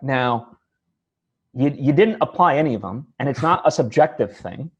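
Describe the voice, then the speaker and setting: A man's voice: medium pitch, lively intonation, normal speed, slightly rough. A man hosting a casual interview, thinking aloud in a tentative, hedging way. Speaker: interview host. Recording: slightly dull, lo-fi audio.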